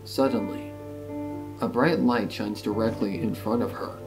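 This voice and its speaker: A man reading scripted dramatic story narration in a measured, solemn manner. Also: on the low side, rough recording. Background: guitar music.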